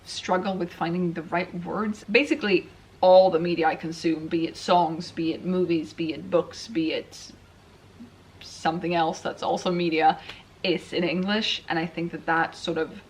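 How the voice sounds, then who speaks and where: Low-voiced woman chatting on a vlog. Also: little pitch movement, normal speed, a little hiss or hum, rough recording. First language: Swedish. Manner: chatty, self-deprecating, rambling with restarts. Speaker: writing vlogger. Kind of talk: casual chat to camera.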